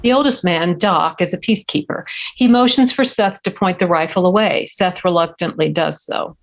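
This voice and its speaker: A woman's voice: on the low side, normal speed, low-quality recording. A woman reading stage directions as the narrator of a scripted screenplay table read.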